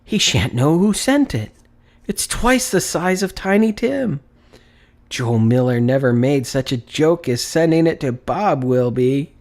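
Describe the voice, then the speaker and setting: An overjoyed old man from a storybook, acted out by a male reader, bursting with glee. His voice leaps high above a normal speaking pitch, and he gushes in exuberant exclamations. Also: expressive, a little slow, darkish tone. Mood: gleeful. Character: old man Scrooge, reformed, giddy and overjoyed.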